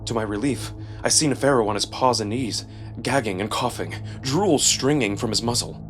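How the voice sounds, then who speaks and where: A male narrator performs dramatic first-person fiction in a mid-pitched voice. His reading is expressive and suspenseful, set over a music bed. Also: normal speed.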